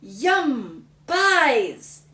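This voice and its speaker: A woman's voice: sits high, huge pitch swings, moderate pace, pitched above the performer's own voice. Woman voicing an eager little brother. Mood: delighted. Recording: roomy, slightly distant mic.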